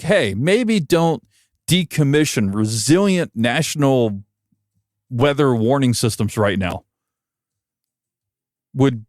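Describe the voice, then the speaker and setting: American man, animated wry podcast monologue. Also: mid-range voice, no room sound, studio quality. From United States. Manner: wry, emphatic, opinionated. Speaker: podcast host, broadcast engineer. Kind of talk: opinion podcast monologue, conversational.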